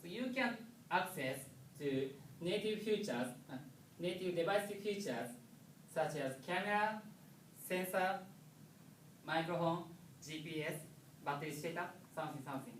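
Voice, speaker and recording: A man from Japan with a Japanese accent, a non-native English speaker giving a technical conference presentation with halting, pause-filled phrasing. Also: a little high. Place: lecture room. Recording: echoey room, distant mic, faint noise.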